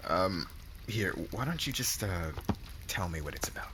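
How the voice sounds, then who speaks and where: A low-voiced man playing a young fantasy warrior speaks slowly in an intimate ASMR romance roleplay. He is cocky and possessive, with teasing slang and a swooping, lively delivery. Water ambience and noise sit clearly behind him. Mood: sheepish, bluffing. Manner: casual modern slang, teasing, hesitant pauses.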